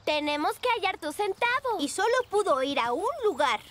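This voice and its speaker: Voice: extremely high pitch, sing-song, normal speed, fairly bright tone, full character voice. An actor voicing a boastful child animal professor in a children's cartoon, pompous and enthusiastic. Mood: eager explaining. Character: child cartoon inventor professors, penguin and pink creature, boastful.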